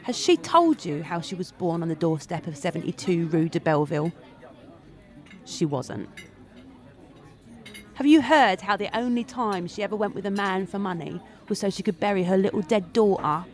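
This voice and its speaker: A woman's voice: lowish voice, expressive, normal speed, darkish tone, close to an everyday voice. A woman acting a wry, earthy confidante who gossips in a sardonic monologue for an audio drama. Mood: wry admiration.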